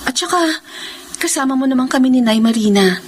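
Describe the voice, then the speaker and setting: An actress playing a protective, vengeful older sister in an emotional, emphatic radio melodrama style. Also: female voice, high voice, lively intonation, fairly quick, slight background noise. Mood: protective, consoling. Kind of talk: Filipino radio melodrama acting.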